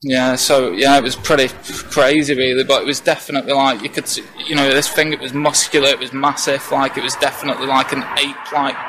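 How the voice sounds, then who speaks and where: Halting young man, flat outdoor voice. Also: mid-range voice. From England. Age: young adult. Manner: hesitant, halting, many fillers. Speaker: witness. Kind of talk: casual first-hand recounting. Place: outdoors on a residential street. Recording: noisy outdoor ambience.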